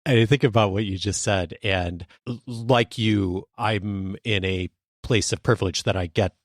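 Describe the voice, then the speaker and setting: Man, lowish pitch, very dry sound, podcast host, thoughtful, conversational interviewing. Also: fairly even intonation, unhurried, no echo.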